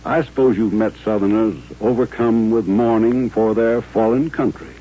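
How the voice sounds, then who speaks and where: An actor playing a solemn, courteous Southern gentleman colonel with a formal, reflective manner in an old radio western. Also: lowish voice, fairly even intonation, normal speed, slight background noise, lightly acted. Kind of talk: 1950s radio western acting. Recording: dull, humming old-time radio recording.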